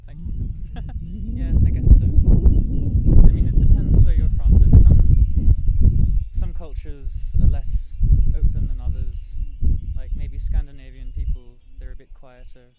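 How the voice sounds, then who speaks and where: A young man from Australia answering questions in a casual street interview, with brief relaxed replies. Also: mid-range voice, unhurried. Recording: tinny lo-fi audio, loud street noise.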